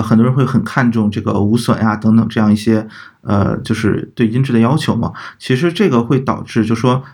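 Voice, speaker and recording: A man's voice: mid-range voice, a little mellow, husky. A man co-hosting a casual podcast discussion, explaining his opinions in a tentative, self-qualifying way. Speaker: podcast co-host. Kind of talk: casual opinion chat about audio gear.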